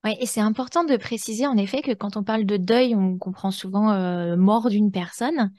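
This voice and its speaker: A woman's voice: medium pitch, moderate pace, darkish tone, no room sound. Woman podcast host, close dry sound. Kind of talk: conversational interview.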